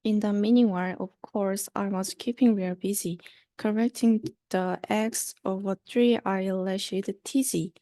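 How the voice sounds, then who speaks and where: A young woman with a soft-edged, mellow tone reads children's verse aloud. She goes slowly, and the sound is dry with no room to it. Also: mid-range voice, steady tone, dry recording. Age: young adult. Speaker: student reader. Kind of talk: reading a rhyming children's book aloud.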